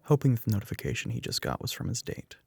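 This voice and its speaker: A man playing a smug, teasing young telepathic classmate in a soft-spoken ASMR roleplay, dry and matter-of-fact. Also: on the low side, very animated, quick pace, gravelly, husky, full character voice.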